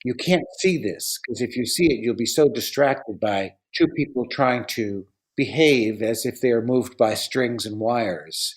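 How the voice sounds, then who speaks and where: A man with a voice of medium pitch speaks as a guest on a panel. He tells his account conversationally, in a measured and explanatory way. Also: poor audio. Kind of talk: conversational anecdote.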